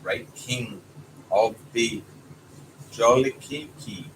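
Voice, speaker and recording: A man's voice: medium pitch, very slow, a bit bright, distant mic. A man voicing a stern, commanding soldier from a children's storybook. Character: stern soldier summoning strangers before his king. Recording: noisy home recording with background sounds.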